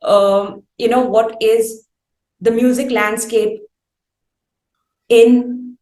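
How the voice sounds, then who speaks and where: A woman's voice: a little high, fairly even intonation, extremely slow, a little mellow. A woman with an Indian accent, working as an interviewer, putting long, winding and carefully phrased questions. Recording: roomy echo on the voice.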